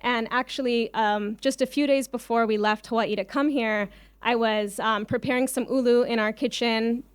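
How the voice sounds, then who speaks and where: A woman's voice: on the high side, clear, resonant tone, dry recording. A woman from Israel giving an informal conference presentation, speaking conversationally with frequent ums and personal asides. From Israel, early childhood on a kibbutz. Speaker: farmer cooperative leader, invited conference speaker.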